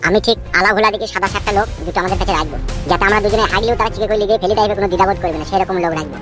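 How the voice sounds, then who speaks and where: A woman's voice: mid-range voice, racing, music in the background, natural voice. A woman voicing a boastful, scheming male village gang boss, bossy and menacing, in a comedy cartoon. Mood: scheming, self-assured.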